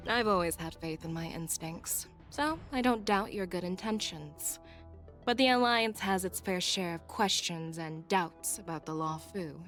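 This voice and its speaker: A woman's voice: mid-range voice, a bit bright, close to an everyday voice. Woman acting a confident, shrewd woman general, music behind. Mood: wry, assured. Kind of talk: video game voice acting. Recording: game dialogue over background music.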